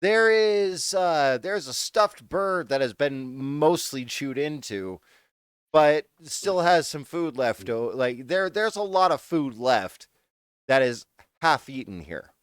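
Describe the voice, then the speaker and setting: Slow, halting male game master. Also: a little high, animated, clean tone, no echo, clean studio sound. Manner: halting, unhurried narration with many fillers. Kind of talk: narrating a tabletop game.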